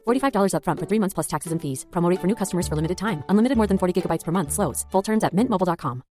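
A woman's voice: lowish voice, racing, darkish tone. A woman reading a clipped legal disclaimer at the end of a commercial. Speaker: ad disclaimer reader. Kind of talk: rapid legal disclaimer read. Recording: faint music bed.

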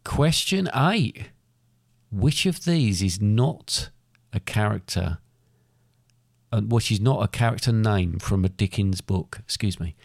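A man hosting a casual podcast quiz, reading questions out slowly and deliberately while losing his voice and clearing his throat. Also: on the low side, lively intonation, no room sound, clean studio sound. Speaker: podcast co-host running a quiz.

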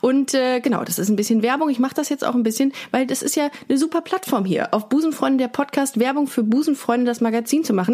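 A woman's voice: on the high side, a little mellow. A woman hosting a casual podcast chat in a joking, ironic way. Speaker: podcast host.

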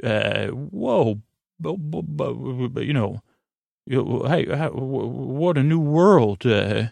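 A man hosting a bedtime podcast, talking in a meandering, halting way with stammers and tangents, meant to soothe. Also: mid-range voice, expressive, gravelly, darkish tone, dry recording. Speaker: podcast host.

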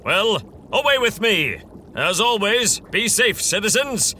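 A bombastic masked space hero from a 1930s-style radio serial. His voice is high for a man and piercingly bright, with heroic, declamatory delivery that swings widely in pitch. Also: sing-song, slow, a little hiss or hum, exaggerated voice. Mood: gallant, self-assured.

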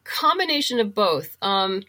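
A woman's voice: mid-range voice, very animated, measured pace, sharp tone. A woman from the United States talking as a guest in a casual, conversational interview. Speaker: interview guest, writer and producer.

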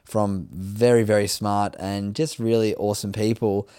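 A man's voice: lowish voice, little pitch movement, moderate pace, warm tone, clear, resonant tone, no echo. A man speaking casually to listeners as a podcast host, close on the mic.

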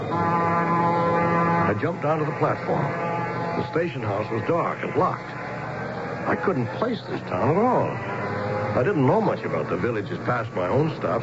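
An actor playing a weary, bewildered middle-aged commuter who grows impatient, in a suspenseful radio drama with first-person storytelling. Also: male voice, mid-range voice. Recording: dull, noisy 1970s radio broadcast.

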